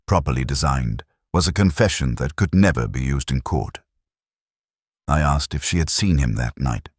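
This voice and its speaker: A man narrating a first-person detective story in a measured, solemn, literary manner, with a gravelly, husky voice. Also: extremely low pitch, no echo, studio quality.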